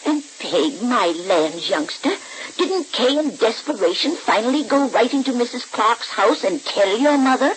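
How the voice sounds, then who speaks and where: On a lightly hissy radio recording, an old grandmother. Her voice is fairly high and swoops expressively. She is folksy and affectionate, yet firm and scolding. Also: animated, measured pace, low-quality recording. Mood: coaxing, scolding. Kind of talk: 1940s radio soap opera acting.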